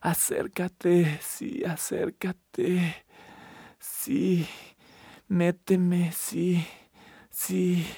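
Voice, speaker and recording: A man giving an intimate roleplay monologue in a breathy, murmured way, slipping between Spanish and English endearments. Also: high-pitched, flat delivery, extremely slow, pauses often, warm tone, no echo, clean studio sound.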